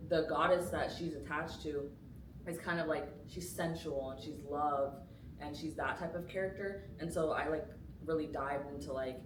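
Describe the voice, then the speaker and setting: Student actress, young, chatty filler-heavy delivery; distant roomy recording with noise. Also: female voice, low voice. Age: young adult. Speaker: student actor. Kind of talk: casual chat about rehearsals. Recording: roomy, distant mic, noisy.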